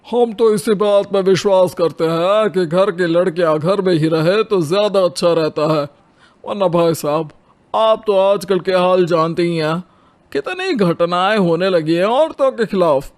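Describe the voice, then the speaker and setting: A man's voice: sits high, measured pace. A man voicing a traditional-minded, deferential middle-aged father in a dramatized moral story. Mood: earnest, conservative.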